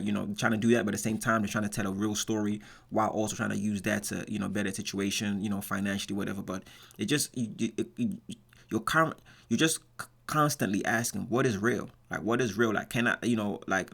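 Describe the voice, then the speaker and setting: Reaction host, American man, low and gravelly, rambling casual commentary. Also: steady tone, a bit bright. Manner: rambling, restarts often, drawn-out fillers.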